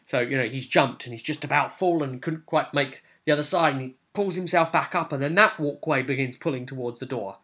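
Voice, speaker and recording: A man with a bright, forward voice gives a casual recap as a game master. He explains things in a rambling but keen way, at a middling pitch, as if talking a viewer through his session. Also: a little fast, hardly any pauses.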